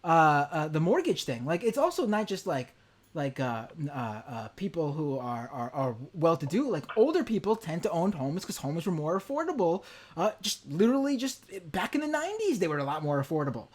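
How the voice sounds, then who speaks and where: A man's voice: on the high side, very animated, fairly quick. A man in his thirties hosting a podcast interview, talking bluntly and jokily with some swearing. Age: 30s. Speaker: show host. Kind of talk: casual podcast chat.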